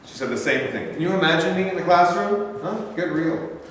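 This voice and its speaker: A man's voice: fairly high pitch, fairly quick, slight background noise, lightly acted. A man playing a frustrated hot-headed young worker. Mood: restless. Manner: defensive, bursts into shouting. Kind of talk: staged play reading. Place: large bare rehearsal studio. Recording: echoey room from a distance.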